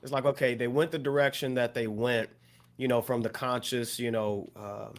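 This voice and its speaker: Male podcast co-host, mid pitch, reflective, loose delivery full of fillers. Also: steady tone, normal speed. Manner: thoughtful, many fillers and restarts. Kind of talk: casual discussion.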